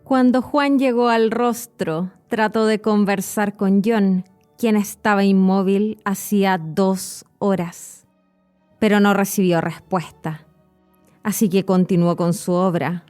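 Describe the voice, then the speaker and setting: A woman's voice: medium pitch, steady tone, a little mellow, faint music behind. Chilean woman narrating a spooky tale. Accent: Chilean Spanish. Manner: clear, measured storytelling, slowing for suspense. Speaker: podcast host and Spanish teacher. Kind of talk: storytelling narration for learners.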